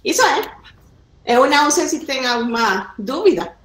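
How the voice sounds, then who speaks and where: A woman's voice: sits high, very animated, moderate pace, a bit bright. A woman whose first language is Spanish, speaking Portuguese in an upbeat, inviting way on a video call. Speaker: community organizer. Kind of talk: welcoming address on a video call. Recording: echoey room on video call.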